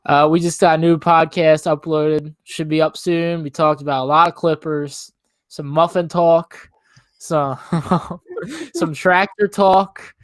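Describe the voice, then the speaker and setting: A man co-hosting a casual pop-culture podcast, speaking in a laid-back, measured and noncommittal way. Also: a little high, fairly even intonation, no echo. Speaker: podcast co-host.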